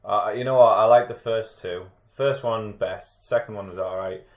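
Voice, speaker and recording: A man's voice: lowish voice, normal speed. Rambling man in an echoey room. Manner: rambling, trails off and restarts. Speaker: podcast co-host. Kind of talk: casual chat over beers.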